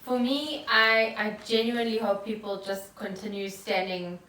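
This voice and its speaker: A woman's voice: mid-range voice, steady tone, normal speed, bright, forceful tone. A woman chatting casually as a podcast co-host at home. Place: indoors at home. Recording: echoey room, noticeable background noise.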